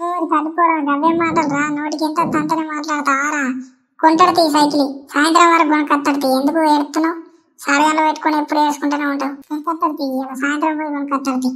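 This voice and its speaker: A woman's voice: high voice, flat delivery, rapid-fire, fairly bright tone. A woman voicing a scolding but doting village mother in a comedy cartoon, chiding with a Telangana accent. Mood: nagging. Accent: Telangana Telugu. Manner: nagging, chiding. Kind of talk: Telugu comedy cartoon dialogue.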